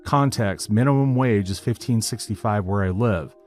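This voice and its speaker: A man narrating a written online story in a steady, even reading style. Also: fairly low pitch, normal speed. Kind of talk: scripted narration of a reddit post. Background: faint background music.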